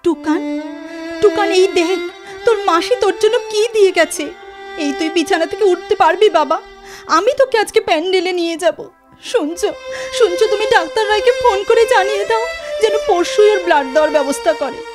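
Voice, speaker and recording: A caring, anxious woman played by an actress with a very high voice, in an audio drama. She is a devoted friend and mother who speaks tenderly and earnestly, often coaxing. Music runs under her lines. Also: normal speed. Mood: tearful, tender. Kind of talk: Bengali audio drama acting. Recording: music behind the voice.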